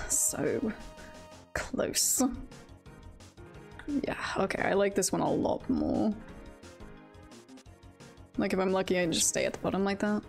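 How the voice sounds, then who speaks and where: Slow-talking woman streaming a game, crackly voice. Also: medium pitch, creaky, darkish tone, soft background music. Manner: chatty, self-talking, often repeats phrases. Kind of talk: casual live game commentary, thinking aloud.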